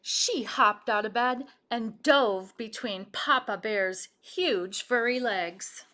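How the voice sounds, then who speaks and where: A woman's voice: fairly high pitch, slow. A woman reading a children's story aloud expressively, speaking directly to young listeners. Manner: animated, addressing young listeners with questions. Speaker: storybook reader.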